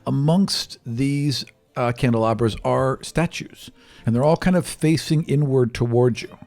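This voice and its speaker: A man's voice: medium pitch, a little slow, creaky, darkish tone, no echo. A man narrating a tabletop role-playing game as game master in an improvised, conversational way.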